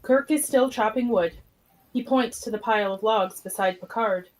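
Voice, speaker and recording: A woman's voice: on the high side, normal speed, low-quality recording. A woman reading stage directions as narrator in a plain, matter-of-fact way. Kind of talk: scripted narration of a live script read.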